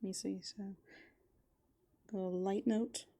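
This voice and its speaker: A woman doing improvised ASMR roleplay, softly and playfully musing aloud, slipping into breathy whispers close to the microphone. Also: medium pitch, flat delivery, slow pace, background noise. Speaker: ASMR performer in a raccoon avatar persona.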